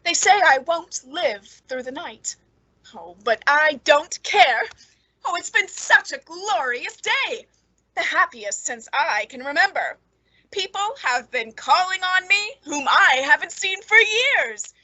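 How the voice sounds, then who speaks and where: High-pitched woman, acted, bright and dry sound, fluttery, genteel, nervously eager, lonely, old-time radio suspense. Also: sing-song, slow, dry recording, exaggerated voice, low-quality recording. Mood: wistful, elated.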